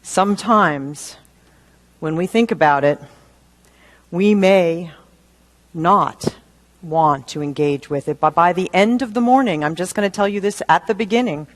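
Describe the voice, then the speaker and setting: Female minister, sermon delivery, very unhurried and deliberate, pausing for effect. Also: on the low side. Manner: deliberate, with dramatic pauses.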